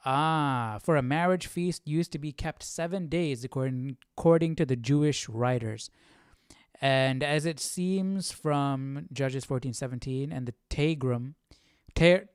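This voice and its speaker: A man's voice: medium pitch, a little mellow, no echo. Casual man with a dry, close-miked sound. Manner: informal, musing aloud. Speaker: livestream host. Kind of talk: casual Bible study chat, reading aloud and commenting. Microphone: close microphone with windscreen.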